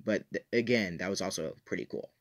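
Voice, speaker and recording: A man's voice: low-pitched, brisk. A man talking casually to viewers as an enthusiastic, opinionated reviewer who leans on emphatic repetition. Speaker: YouTube reviewer, host.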